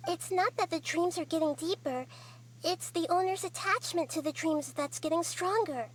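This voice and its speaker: A woman voicing a small, wise young goddess who speaks softly and explains things in a measured way. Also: very high voice, a little hiss or hum. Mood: calm. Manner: soft, measured, gently explaining. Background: game music.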